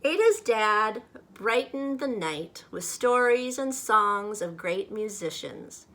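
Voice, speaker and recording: Slow-paced woman reading for children. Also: medium pitch, big pitch swings, a bit bright. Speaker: church children's leader reading a storybook. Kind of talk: children's read-aloud and friendly talk to kids.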